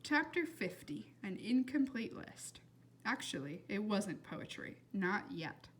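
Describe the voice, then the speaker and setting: Animated woman reading a story aloud. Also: medium pitch, slow, a little hiss or hum. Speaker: storybook reader. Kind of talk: expressive read-aloud narration.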